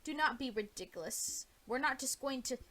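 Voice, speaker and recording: A woman's voice: sits high, animated, moderate pace, fairly bright tone. A woman voicing a proud, impatient male warrior prince with blustering, emphatic delivery. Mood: indignant.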